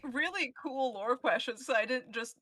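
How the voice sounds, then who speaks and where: A woman muses aloud in casual conversation, feeling her way through her thoughts. Her voice is bright, and the recording is dry and free of echo. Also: high voice, normal speed. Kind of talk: casual chat.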